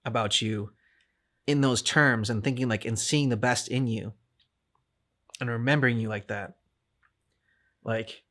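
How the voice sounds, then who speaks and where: Male co-host, bright forceful tone, casual and thoughtful, halting delivery with repeated words. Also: medium pitch, fairly even intonation. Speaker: co-host of a reaction channel. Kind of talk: casual reflective discussion.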